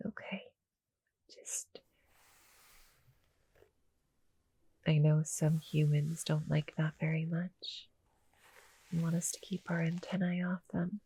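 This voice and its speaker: A woman voicing a small, sweet female cuddle monster in an intimate ASMR roleplay, soft and breathy, often near a whisper, gentle and a little hesitant. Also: on the low side, exaggerated voice, rough recording. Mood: soothing, hushed. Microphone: close, dry studio-style mic.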